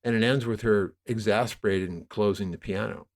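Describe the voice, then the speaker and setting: A man with a fairly low voice talks as a podcast guest. He explains his creative work in a conversational, wordy way, often circling back to rephrase a thought. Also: steady tone, normal speed, darkish tone. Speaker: podcast guest, audio drama producer.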